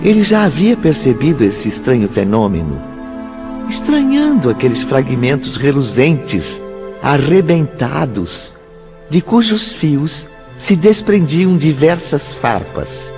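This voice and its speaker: Muffled recording with music underneath: a male narrator delivers dramatic scripted storytelling, slow and highly expressive.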